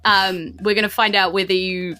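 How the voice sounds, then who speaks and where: A woman's voice: mid-range voice, steady tone, normal speed, pushed and bright, soft background music. A woman with a New Zealand accent running a tabletop game, narrating informally and putting questions to the players. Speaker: dungeon master of a tabletop game podcast. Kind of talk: improvised game narration, casual chat.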